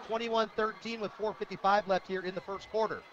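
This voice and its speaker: A man's voice: sits high, steady tone, a little fast, a bit bright. An American man giving live radio play-by-play commentary of a football game. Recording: dull lo-fi radio broadcast audio.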